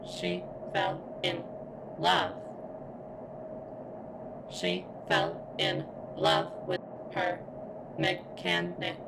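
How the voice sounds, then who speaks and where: A woman playing a sentient robot girl in an ASMR roleplay, speaking haltingly and deliberately as if in constant pain, earnest and devoted. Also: extremely low pitch, sing-song, vocal fry, background noise, full character voice. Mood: wistful, aching.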